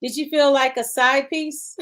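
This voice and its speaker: A woman's voice: high-pitched, fairly even intonation, a little slow, a bit bright. A woman hosting a casual live-stream chat, bubbly, laughing often and reading viewer comments aloud. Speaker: show host.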